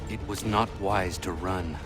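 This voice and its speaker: A man's voice: low-pitched, steady tone, measured pace. An actor playing an earnest, stern young male assassin who speaks bluntly and formally in a video game. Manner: formal, blunt, solemn, avoids contractions. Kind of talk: video game cutscene dialogue. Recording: dramatic music behind the dialogue.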